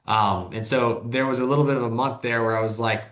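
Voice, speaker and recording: A man in his thirties, a business owner speaking as a guest, talks quickly and loosely. He rambles and circles back, with lots of "like" and restarted sentences. The room gives his voice a noticeable echo. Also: mid-range voice, steady tone, a bit bright. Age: 30s. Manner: rambling, heavy use of "like", frequent restarts. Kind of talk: casual interview talk.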